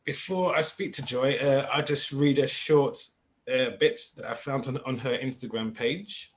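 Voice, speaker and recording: A man hosting a spiritual conversation show, asking questions haltingly with many fillers and restarts. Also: mid-range voice. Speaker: podcast host. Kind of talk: interview hosting.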